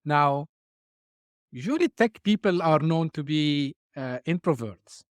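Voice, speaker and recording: A male podcast host asking measured questions with some fillers. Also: on the high side, animated, no echo. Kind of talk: interview questions.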